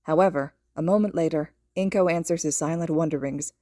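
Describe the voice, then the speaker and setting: A woman's voice: sits low, fairly quick, mellow, no room sound, clean studio sound. A woman reading scripted fiction aloud as a podfic narrator. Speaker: podfic reader and host.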